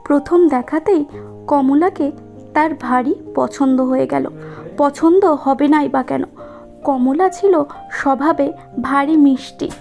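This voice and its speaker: High, mellow woman storyteller. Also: a little slow, vocal fry, long pauses, soft background music. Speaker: narrator. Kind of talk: scripted cartoon story narration.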